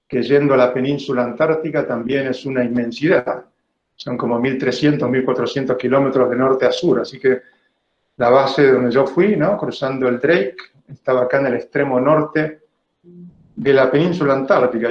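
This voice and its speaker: Argentine man lecturing, roomy dull call audio. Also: medium pitch. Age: 50s. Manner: conversational, often checking in with "¿no?". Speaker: biologist giving a talk. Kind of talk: informal illustrated lecture with personal recollections. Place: indoor room, online video call. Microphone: video call microphone.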